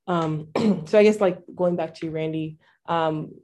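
Low, congested woman hosting a chat. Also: fairly even intonation, unhurried, mellow. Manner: supportive, loose and chatty. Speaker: podcast co-host. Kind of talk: casual interview chat.

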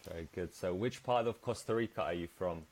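A man's voice: sits low, a little fast, darkish tone. A man hosting a podcast, asking questions in an easygoing, hesitant way with many fillers. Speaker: podcast host. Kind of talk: casual interview.

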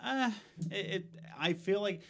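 A man's voice: sits high, a little slow, creaky, fairly bright tone. A young man co-hosting a casual gaming podcast, rambling with lots of fillers and restarts. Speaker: podcast co-host.

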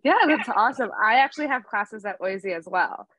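A woman's voice: mid-range voice, expressive, a little fast, bright, forceful tone, no room sound. A young woman, a student interviewing a guest in a casual, upbeat way.

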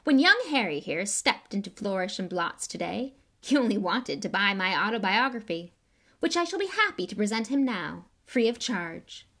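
A woman voicing a vain, showy grown male wizard celebrity in an audiobook, speaking loudly and theatrically. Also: medium pitch, big pitch swings, normal speed. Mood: grandly announcing. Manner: loud, grand, theatrical announcing. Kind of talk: audiobook character voice.

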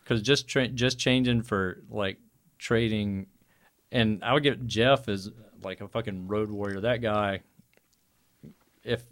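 A man's voice: fairly low pitch, fairly even intonation, takes it slowly, pauses often, fairly bright tone. A man with a Southern American accent, a band musician telling tour stories in a blunt, sweary, casual way. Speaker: touring band musician being interviewed. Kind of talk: casual chat, storytelling.

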